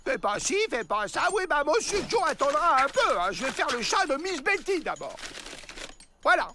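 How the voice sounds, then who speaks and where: An actor playing a dim, good-natured, easily distracted outlaw brother in a French cartoon, naive and playful. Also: male voice, very high-pitched, very animated, moderate pace, noisy background, full character voice. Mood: sulky, stubborn. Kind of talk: French animated comedy dubbing. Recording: loud music behind.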